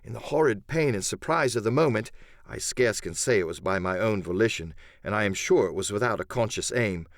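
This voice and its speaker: A man's voice: lowish voice, lively intonation, fairly quick, no echo, studio quality. A man narrating an adventure audiobook in the first person, with theatrical, literary storytelling.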